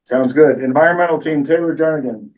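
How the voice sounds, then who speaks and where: A man hosting a community meeting in a room, making announcements in a relaxed, joking way. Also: fairly high pitch, brisk. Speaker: meeting host. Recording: dull audio, voice from far off.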